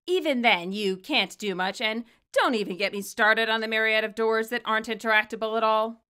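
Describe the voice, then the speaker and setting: A woman reading scripted commentary and story narration, expressive and wry as the author of the tale. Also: a little high, brisk, clean tone. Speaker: fan fiction author and narrator.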